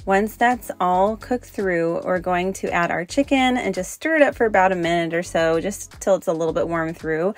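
A young woman narrates a home cooking demonstration in an easygoing voice-over. Her voice sits a little low for a woman, and she walks through each step in a friendly, instructive way. The sound is close and clean. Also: normal speed, few pauses, faint music behind. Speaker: cooking channel host.

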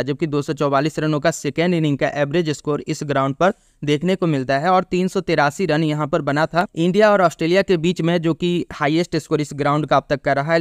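Smooth, dry-voiced male cricket analyst. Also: on the high side, no room sound. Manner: steady stat-reading with brief restarts. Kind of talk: pitch report and match preview.

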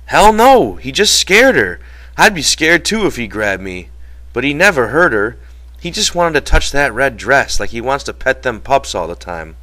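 A man voicing a protective, exasperated ranch hand in a storybook reading, telling things forcefully in folksy, ungrammatical speech. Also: mid-range voice, sing-song, moderate pace, pitched above the performer's own voice. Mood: agitated, insistent.

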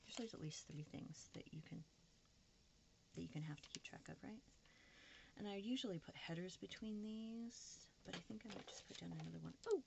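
Low, creaky-voiced woman chatting casually. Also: a little fast. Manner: self-mocking, candid, talking to herself. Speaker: craft vlogger. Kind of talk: casual rambling chat to viewers while crafting. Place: indoors at a craft table. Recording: noisy room recording with background hiss.

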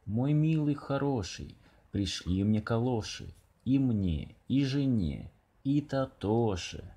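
A greedy storybook crocodile played by a man pleads tearfully and wheedles. The husky voice swoops up and down. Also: medium pitch, expressive, takes it slowly, dark tone. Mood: tearful pleading.

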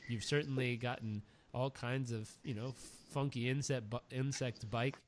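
A man's voice: medium pitch, fairly even intonation, moderate pace. A man with a mid-range voice hosting a podcast, chatting with enthusiasm and drifting into long anecdotes. He often stumbles and repeats a word before getting going. A faint hiss sits under his voice. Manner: enthusiastic, rambling, repeats words when starting. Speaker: podcast host. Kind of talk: casual interview chat, storytelling.

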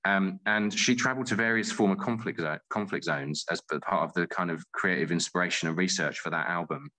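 A man's voice: sits low, a little fast, sharp tone, rough recording. A man answering as an interview guest, telling stories in an articulate, thoughtful, conversational way.

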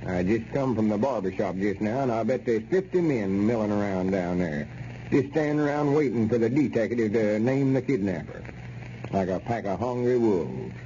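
An actor playing a fretful, self-pitying old country storekeeper, grumbling in a folksy Southern drawl for a radio comedy. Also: male voice, on the low side, expressive, normal speed, mellow, close to an everyday voice. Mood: irritated. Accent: rural American South. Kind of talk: 1930s radio comedy acting. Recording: muffled, noisy old radio recording.

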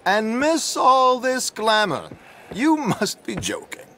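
A man playing a dapper, flamboyant gentleman rogue in a video game, with wry, flowery politeness. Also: extremely high pitch, wildly swooping pitch, slow, exaggerated voice. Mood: arch, teasing. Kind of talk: dramatic video game cutscene acting.

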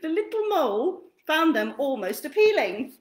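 A woman's voice: sits high, very animated, normal speed. A young woman narrating a children's picture book expressively, recorded in a small room at home. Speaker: children's storytime reader. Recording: roomy, echoey webcam sound.

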